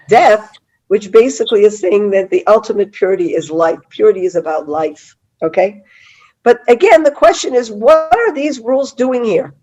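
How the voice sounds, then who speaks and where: A middle-aged woman with a cold-hoarse voice teaching a Bible study over a video call, chatty and full of fillers and restarts. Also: medium pitch, dark tone, muffled recording. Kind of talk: online Bible class lecture.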